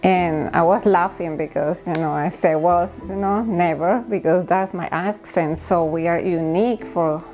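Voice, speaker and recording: A middle-aged woman from Venezuela with a Venezuelan Spanish accent, giving a personal interview account in halting non-native English. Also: fairly low pitch, darkish tone. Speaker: documentary interviewee.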